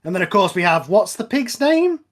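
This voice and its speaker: A man's voice: high-pitched, big pitch swings, brisk. A man giving casual, opinionated fan commentary on a film as the host, with trailing asides.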